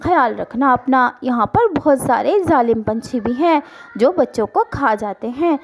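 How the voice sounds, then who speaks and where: A woman voicing a caring mother bird, gently instructing, in a children's cartoon story. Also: high voice, lively intonation, unhurried, slight background noise. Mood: cautioning, caring.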